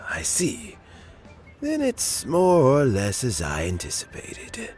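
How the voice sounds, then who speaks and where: A man playing a gentle, thoughtful elderly mentor in a video game, reflective and measured. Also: mid-range voice, huge pitch swings, soft background music.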